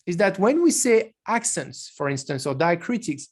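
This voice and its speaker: A man's voice: high voice, big pitch swings, normal speed. Man giving an online lecture. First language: French. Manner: explanatory, with frequent restarts.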